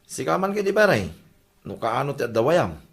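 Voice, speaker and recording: A man's voice: mid-range voice, very animated, moderate pace, vocal fry, fairly bright tone. A man acting a sheepish, evasive hard-drinking village husband in an Ilocano comedy radio drama, with a Filipino Ilocano accent. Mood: casual, friendly.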